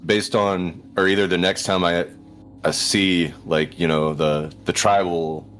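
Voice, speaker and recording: A man's voice: low voice, level tone, unhurried, fairly bright tone. A man chatting casually as a player in a tabletop roleplaying game. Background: faint background music.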